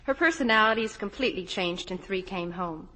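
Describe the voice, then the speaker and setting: A woman's voice: mid-range voice, fairly quick, pushed and bright, low-quality recording. A young American woman reading a scripted endorsement as a guest on a 1950 radio broadcast. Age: young adult. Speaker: guest starlet in sponsor segment.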